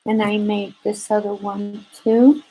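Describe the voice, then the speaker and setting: A native Spanish-speaking woman with a Spanish accent, hosting a casual craft livestream in a halting, rambling way and often repeating phrases. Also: medium pitch, little pitch movement, warm tone, slightly rough, rough recording.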